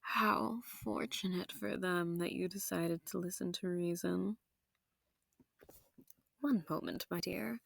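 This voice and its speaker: A woman performs a sultry, sadistic vampire lady with courteous menace. She lingers over her words with a touch of vocal fry and often slips into a whisper. The sound is dry and intimate, made for a roleplay audio drama. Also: mid-range voice, dry recording, heavily acted. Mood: silky, commanding. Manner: slow, teasing, genteel menace, often dropping to whispers. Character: seductive, sadistic, possessive female vampire noble hosting her foe.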